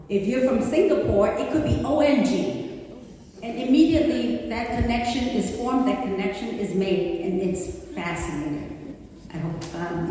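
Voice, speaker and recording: A woman in her forties who grew up in Hong Kong and speaks Cantonese natively, giving a chatty personal talk at a community event, with many fillers. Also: a little high. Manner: warm, conversational, with frequent fillers. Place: community center hall. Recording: echoey hall, distant mic, faint noise.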